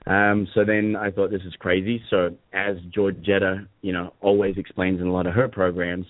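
A low-pitched man speaking with an Australian accent that carries traces of American and South African. He talks in a relaxed, easygoing way with lots of fillers. The sound is dry and tinny, like a phone line. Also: moderate pace, no room sound. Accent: Australian, mixed with American and South African. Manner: relaxed, laughs easily, many fillers. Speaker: guest, fitness business coach. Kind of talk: casual interview chat.

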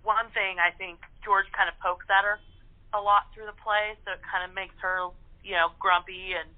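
A woman chatting informally and haltingly as an interview guest. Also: medium pitch, level tone. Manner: informal, halting, peppered with "you know". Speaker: guest voice actor in radio plays. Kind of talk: casual interview chat. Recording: phone-like, band-limited line.

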